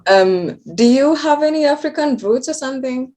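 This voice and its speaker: A woman's voice: sits high, lively intonation, moderate pace, darkish tone. A woman interviewing a guest, encouraging her and asking simple questions. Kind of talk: casual interview.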